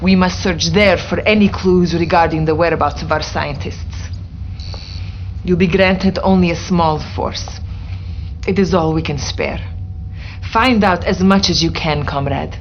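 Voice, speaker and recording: An actress playing an earnest, determined Soviet resistance officer with a Russian accent, briefing solemnly in a video game. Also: female voice, lowish voice, a little slow, lightly acted. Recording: dull audio with faint background noise.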